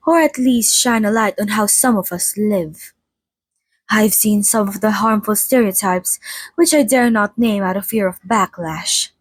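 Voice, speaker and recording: A woman voicing a playful, theatrical century-old female vampire in a chatty, mock-formal ASMR roleplay monologue, with a soft, breathy voice. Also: mid-range voice, no echo, close to an everyday voice. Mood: earnest, dignified. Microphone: close studio microphone, dry.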